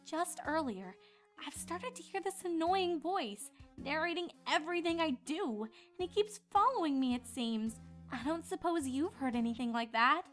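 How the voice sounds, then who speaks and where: A young female cartoon pony, with a very high, bright voice. She is inquisitive and easily exasperated, swooping through her emphatic questions. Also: animated, moderate pace, faint music behind. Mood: subdued. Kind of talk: cartoon fan fiction dramatization.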